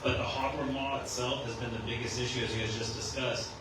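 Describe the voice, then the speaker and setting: Local guy with a loud voice grilling the mayor, pretty far off in an echoey room. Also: mid-range voice, steady tone, brisk. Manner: direct and pointed, says he has a loud voice. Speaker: resident asking a question. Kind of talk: audience question at a public forum. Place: public meeting hall. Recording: echoey hall, distant mic, noisy.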